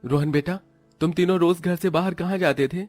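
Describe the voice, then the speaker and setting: A man's voice: fairly high pitch, steady tone, a little fast, darkish tone, no room sound, soft background music, lightly acted. A man playing an anxious working-class village father with an earnest, questioning manner in a cartoon story.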